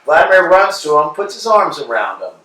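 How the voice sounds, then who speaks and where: A man's voice: on the high side, very animated, a little fast, bright, forceful tone, slight background noise. A man acting as director and narrator who reads stage directions aloud in a casual tone, with offhand asides. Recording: echoey room, mic far off.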